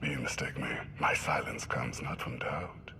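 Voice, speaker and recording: An actor playing a grim, cold ruler in a video game, speaking slowly and formally with menacing certainty. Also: male voice, fairly even intonation, bright, forceful tone, in a whisper, exaggerated voice. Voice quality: very deep, gravelly. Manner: slow, measured, formal. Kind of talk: dramatic video game acting.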